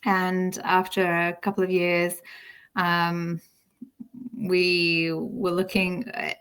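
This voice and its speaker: A woman's voice: on the low side, completely flat delivery, slow, fairly bright tone, clear, resonant tone. A middle-aged woman with a British accent, telling her own story conversationally and reflectively as a podcast guest. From United Kingdom.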